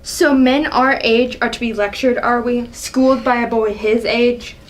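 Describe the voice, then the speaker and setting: A woman's voice: on the high side, fairly even intonation, unhurried, lightly acted. Young woman playing an indignant, imperious king. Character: proud, enraged male king, father berating his son. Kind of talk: dramatic reading of Greek tragedy.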